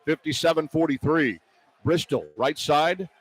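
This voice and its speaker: A man doing live radio basketball play-by-play, describing the action in short, clipped phrases that build toward excited calls. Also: a little high, unhurried. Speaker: play-by-play announcer. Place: broadcast position at an indoor arena.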